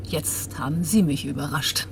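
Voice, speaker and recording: Reserved, quietly grieving woman. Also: low voice, moderate pace, vocal fry, noisy background. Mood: guarded. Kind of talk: radio drama dialogue.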